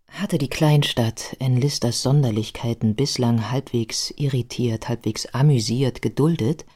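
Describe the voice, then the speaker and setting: A man narrating a scripted biographical radio play in a measured, factual manner. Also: medium pitch, warm tone, breathy edge.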